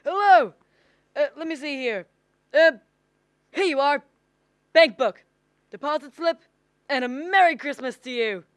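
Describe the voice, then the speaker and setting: A woman's voice: high-pitched, lively intonation, measured pace, pauses often, dry recording. A young woman acting a forgetful, flustered old uncle in a radio drama, stammering and exclaiming. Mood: jovial, teasing. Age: young adult. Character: forgetful, flustered old Uncle Billy, male bank partner.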